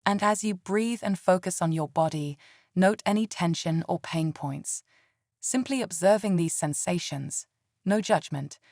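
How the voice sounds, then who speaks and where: A woman leading a scripted guided meditation in a calm, soothing and gentle way. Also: fairly low pitch, unhurried, dry recording, clean studio sound.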